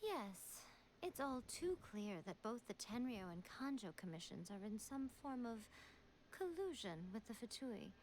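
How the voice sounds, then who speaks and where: A woman's voice: fairly high pitch, very animated, unhurried, a little mellow, rough recording. A woman voicing an elegant, sly and self-assured fox shrine maiden in a video game, speaking with poised, teasing condescension. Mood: serious, explaining. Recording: game stream with background noise.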